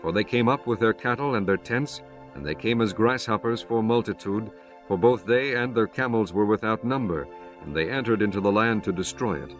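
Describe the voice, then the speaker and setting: A man narrating dramatized scripture as an audiobook, in a solemn, measured storytelling manner. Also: on the low side.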